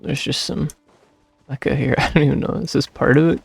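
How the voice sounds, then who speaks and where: A man doing casual, offhand gameplay commentary, muttering to himself in a raspy, creaky voice. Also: medium pitch, fairly even intonation, a little fast, dry recording, soft background music. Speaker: game streamer.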